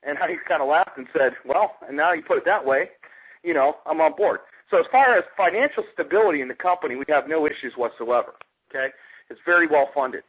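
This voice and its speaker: An American man, middle-aged, giving an informal, emphatic sales pitch as a network marketer, telling stories and asking rhetorical questions. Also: expressive, brisk, slightly rough. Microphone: telephone conference call. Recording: muffled, dull conference phone line.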